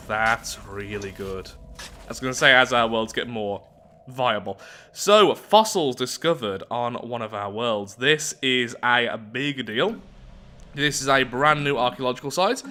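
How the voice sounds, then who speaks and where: A man with a bright, forward voice at a middle pitch hosts a game playthrough. He talks casually and thinks aloud, drifting into asides as he comments. Faint music plays behind him. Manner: chatty, thinking aloud, digressive. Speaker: gaming video host. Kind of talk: casual strategy game playthrough commentary with read-out event text.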